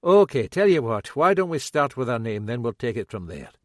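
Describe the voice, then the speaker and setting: A man's voice: medium pitch, big pitch swings, brisk, no echo, clean studio sound, lightly acted. A man voicing a cheerful young Scottish constable with an obliging, chirpy manner and a Scottish accent.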